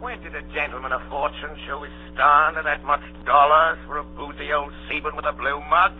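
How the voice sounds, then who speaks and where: A man's voice: a little high, level tone, brisk, lightly acted. Man doing a sly old boss, old tinny radio play, bit of music behind. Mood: urgent, angry. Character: sly old pirate sea cook, scheming ringleader. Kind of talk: old-time radio drama acting.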